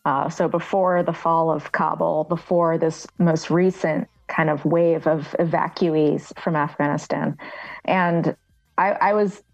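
A woman journalist answering interview questions in a thoughtful, halting way, restarting her sentences as she thinks. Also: low-pitched, fairly even intonation, creaky, darkish tone, highs cut off. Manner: thoughtful, hesitant, many fillers and restarts. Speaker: journalist guest.

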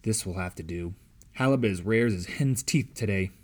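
A man voicing a blunt, grumbling army wife with folksy, rough grammar in a storybook reading. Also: lowish voice, normal speed, close to an everyday voice. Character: blunt, gruff pregnant British army wife.

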